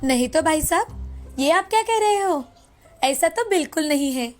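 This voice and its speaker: A woman voicing an ambitious, greedy, insistent wife in an animated moral story. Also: extremely high pitch, normal speed, faint music behind. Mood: defensive. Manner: insistent, complaining. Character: ambitious, greedy, nagging young wife selling ice cream.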